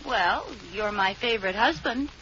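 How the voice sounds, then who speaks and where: A woman's voice: mid-range voice, lively intonation, normal speed, sharp tone. An actress playing a playful, scheming young wife in a 1940s radio sitcom, coaxing her husband. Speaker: lead actress. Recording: muffled old radio broadcast with hiss.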